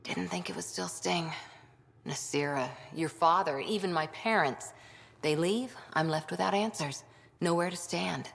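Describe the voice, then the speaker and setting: An actress playing an earnest former commando who longs to belong, frank and reflective in short clipped phrases, in a video game. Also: female voice, fairly low pitch, unhurried, vocal fry. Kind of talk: video game dialogue acting. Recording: long reverberant hall sound.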